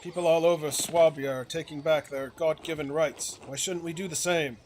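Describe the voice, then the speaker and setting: A man's voice: fairly high pitch, steady tone, moderate pace, darkish tone, lightly acted. A man playing a fiery rebel townsman rallying villagers in a video game. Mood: indignant, rousing. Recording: noisy recording with game ambience.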